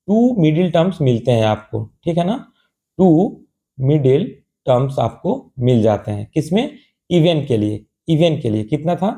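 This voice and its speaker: A male tutor, hoarse from a cold, explains a math problem step by step. He coaxes his students along, asks rhetorical questions and checks in often. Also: high-pitched, mellow. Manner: calls students "babu" in a patient, coaxing tone.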